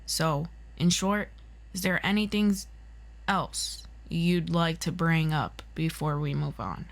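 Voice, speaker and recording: A stern female agency interrogator, acted by a woman in an audio roleplay. Her voice sits on the low side, and she speaks very slowly, with formal, measured and clipped questioning. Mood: cool, probing.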